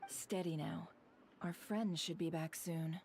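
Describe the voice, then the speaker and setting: Game role: shrewd, measured female tactician, slightly low voice, precise analytical delivery, calm and discreet. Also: gravelly, slight background noise. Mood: calm, assured. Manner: deliberate, articulate, analytical. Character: shrewd, composed woman strategist, measured and discreet. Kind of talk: scripted game dialogue.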